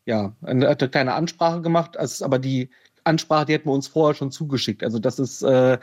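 A man's voice: medium pitch, fairly quick, darkish tone. A man telling a personal story as an interview guest, hesitant, with many fillers. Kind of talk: casual storytelling. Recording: dull lo-fi sound.

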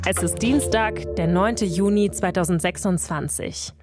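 A woman radio host narrating a scripted news podcast and asking questions in a clear, composed manner. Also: lowish voice, measured pace. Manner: clear, composed presenter delivery. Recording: music bed behind.

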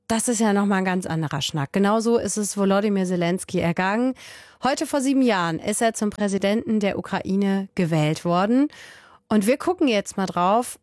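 A woman's voice: mid-range voice, a little mellow, dry recording, clean studio sound. A woman introducing a news segment in a chatty, colloquial presenting style.

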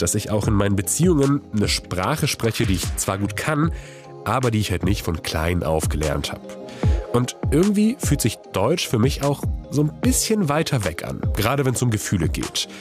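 A man's voice: medium pitch, sing-song, normal speed, over music. A man whose first language is Polish, hosting a podcast with reflective, personal narration and interview questions. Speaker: podcast host.

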